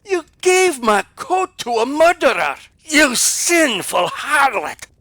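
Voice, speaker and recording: An actor playing a grasping, pious, jealous husband in an audio play, gruff and accusatory. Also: male voice, very high-pitched, sing-song, slow, sharp tone, heavily acted. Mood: outraged. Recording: home recording, faint insect-like hiss.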